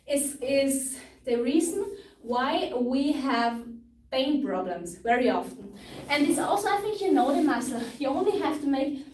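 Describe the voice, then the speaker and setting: A woman with a Tyrolean Austrian accent, whose first language is German, leads a live stretching class and explains each move as she does it. Her voice sits high, and she sometimes hunts for the right English word. She is recorded from across an echoey room with a faint hiss underneath. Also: darkish tone, recorded from a distance. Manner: explains while demonstrating, searches for words. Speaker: stretching instructor.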